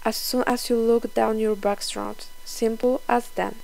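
Slightly creaky young woman. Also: a little high, flat delivery, measured pace, a little mellow, poor audio. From Poland. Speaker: fitness vlogger. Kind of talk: scripted instructional voice-over.

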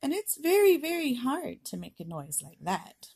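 A woman's voice: high voice, sing-song, normal speed, gravelly, very noisy. An older woman reading a picture book aloud as a grandmother to a young child, playfully drawing out sound words and coaxing the child to repeat. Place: home indoors, beside a patchwork quilt. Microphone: handheld phone or camera close by.

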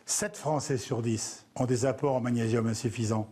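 An older man with a French accent, a company president pitching his product in a homespun TV advertisement. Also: steady tone, normal speed, mellow, slightly rough.

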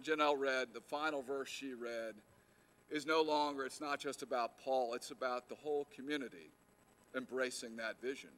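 A man's voice: medium pitch, fairly bright tone. A middle-aged man, a pastor, preaching a sermon from a church pulpit into a lapel mic, earnest, with many fillers and restarts and rising emphasis on key words. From United States.

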